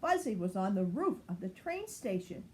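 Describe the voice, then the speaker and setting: A woman's voice: mid-range voice, sing-song, moderate pace, a little mellow. An older woman, a grandmother, reading a children's storybook aloud.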